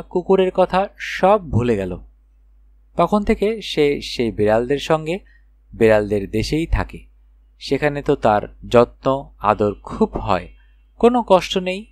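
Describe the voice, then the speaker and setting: A man narrating a children's fairy tale in an expressive storytelling manner with dramatic phrasing. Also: mid-range voice, dry recording. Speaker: audiobook narrator.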